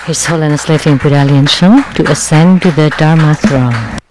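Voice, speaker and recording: A man with a somewhat high voice announces a temple ceremony in English, measured and formal. He leads the group through each step in turn. A faint hiss sits under the recording. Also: a little mellow, rough recording. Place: temple hall.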